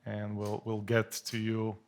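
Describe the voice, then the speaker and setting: A man on a live office panel, speaking haltingly, repeating words as he adds clarifications. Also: on the low side, completely flat delivery. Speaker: engineer on recruitment panel. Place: office event space.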